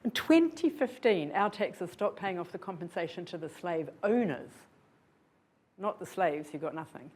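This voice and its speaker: A woman's voice: lowish voice, lively intonation, moderate pace, darkish tone, slightly rough. An older woman from New Zealand giving an earnest, reflective public lecture, often posing rhetorical questions to her audience. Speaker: guest lecturer. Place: lecture hall.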